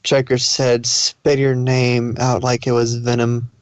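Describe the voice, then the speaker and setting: A man's voice: medium pitch, monotone, slow pace, smooth, no echo. A man narrating a story aloud as a fan fiction reader, occasionally stumbling over the text.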